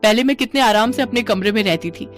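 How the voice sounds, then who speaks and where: A woman acts out a pregnant elder sister-in-law with a high voice. She is bossy and put-upon, with a scolding, reproachful way of talking. Music plays under the cartoon story dialogue. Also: fast, natural voice. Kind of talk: animated Hindi family story dialogue.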